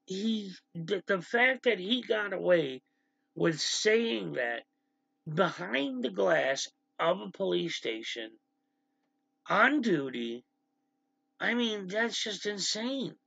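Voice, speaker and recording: A man with a gravelly voice hosting a casual livestream, talking animatedly and with exasperation to his chat. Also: sits high, no room sound. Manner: animated, exasperated, quick asides to the stream chat. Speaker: livestream host. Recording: slightly dull lo-fi stream audio.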